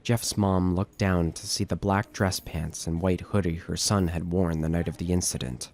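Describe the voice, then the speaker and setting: Deep-voiced man narrating horror. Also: steady tone, moderate pace, a little mellow. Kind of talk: scripted horror story narration. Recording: music bed under narration.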